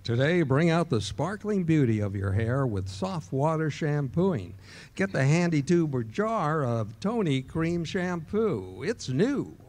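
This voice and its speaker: A man playing a 1940s radio announcer, reading commercials in a polished, upbeat pitchman delivery on a live stage. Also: sing-song, a little slow, darkish tone. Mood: selling. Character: cheerful male radio announcer, smooth salesman. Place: live stage performance in a ballroom.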